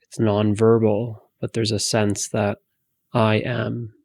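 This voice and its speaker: Guy doing a guided meditation, soft n soothing, lots of fry, super dry close sound, long pauses. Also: on the low side, monotone, dry recording. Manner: soothing, hushed, unhurried instruction with long pauses.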